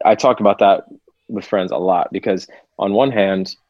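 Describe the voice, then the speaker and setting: A man's voice: lowish voice, moderate pace, no room sound. A man from Seattle, a podcast guest talking candidly and reflectively, sometimes swearing in casual conversation. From Seattle, USA. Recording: dull, lo-fi remote audio.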